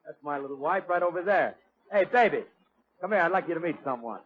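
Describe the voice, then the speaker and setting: A man's voice: a little high, animated, a little fast, a little hiss or hum, natural voice. An actor playing a brash, mocking married man in a 1940s radio comedy, on a muffled old recording. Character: brash married man who denies knowing the hero, mocking.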